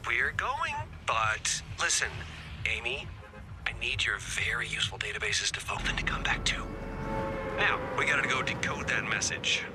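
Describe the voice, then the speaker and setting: A man's voice: medium pitch, sing-song, moderate pace, over music, lightly acted. A man voicing a wry male field agent who leads the mission in a casual, wisecracking manner, in video game voice acting. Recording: game audio with background noise.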